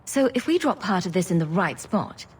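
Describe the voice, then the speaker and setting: A woman playing a confident, sardonic adventurer with a wry, deadpan manner in a scripted fantasy game. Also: on the low side, normal speed, husky, full character voice. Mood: matter-of-fact.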